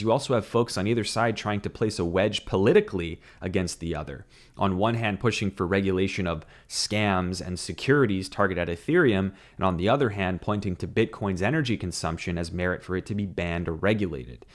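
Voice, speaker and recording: A man in his thirties with a lowish voice, delivering scripted crypto commentary in a brisk, opinionated way and scoffing at silly debates. His voice is a touch scratchy from a sleepless night. He speaks close to a broadcast desk mic in a small home studio. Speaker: crypto show host. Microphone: desk broadcast mic on arm.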